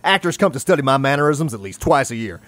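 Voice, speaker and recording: An actor playing a brash, boastful Southern gambler with a Southern US accent and folksy sayings. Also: male voice, medium pitch, big pitch swings, fast talker, fairly bright tone. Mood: wry. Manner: whooping, folksy drawling sayings.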